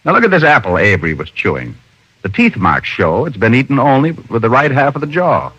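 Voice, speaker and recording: An actor playing a confident, wry private detective with an American accent, reasoning aloud in an old radio drama. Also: male voice, mid-range voice, very animated, a little fast, pushed and bright, slightly rough, no room sound, exaggerated voice. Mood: persuasive. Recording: muffled old radio recording.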